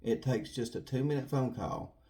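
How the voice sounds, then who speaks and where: A man's voice: mid-range voice, animated, normal speed, mellow. A man giving casual, rambling commentary to viewers in an earnest, folksy way, repeating himself for emphasis. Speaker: true crime video host.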